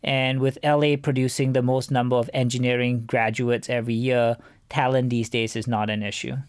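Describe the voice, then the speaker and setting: A man answers interview questions in a measured, businesslike way. His voice sits at a medium pitch for a man, and the recording is close and dry, with very little room sound. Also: little pitch movement, clean tone, dry recording. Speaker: venture capital fund co-founder, interview guest.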